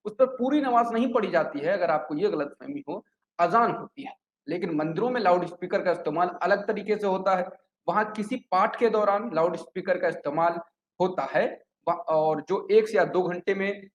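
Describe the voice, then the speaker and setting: A man presenting a scripted news report in an explanatory way, occasionally stumbling over words. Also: high voice. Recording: echoey room with clear background noise.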